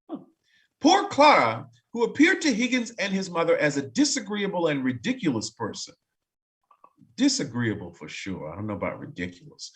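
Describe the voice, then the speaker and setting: A man's voice: high voice, fairly bright tone. A man narrating in a staged reading, reading aloud expressively and breaking off for wry, casual asides.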